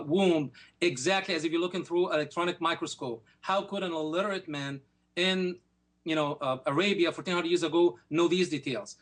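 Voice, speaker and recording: A man arguing his side in a televised debate, speaking in rapid, insistent bursts. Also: on the high side, a bit bright. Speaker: debate guest. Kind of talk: heated debate.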